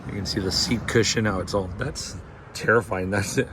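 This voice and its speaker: Male vlogger, low-voiced and hoarse-sounding, casual outdoor tour, wind buffeting the mic. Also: fairly even intonation, moderate pace. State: losing his voice. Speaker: vlogger touring a film location. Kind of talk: casual walking tour commentary. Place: outdoors at a roadside barbecue spot.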